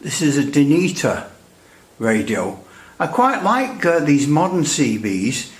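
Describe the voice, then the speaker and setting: A man's voice: a little high, very animated, takes it slowly. A man gives a casual, unscripted technical demonstration in a chatty, rambling, hesitant way with dry humour. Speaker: radio hobbyist presenting a repair demonstration. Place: indoors at a workbench. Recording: echoey room.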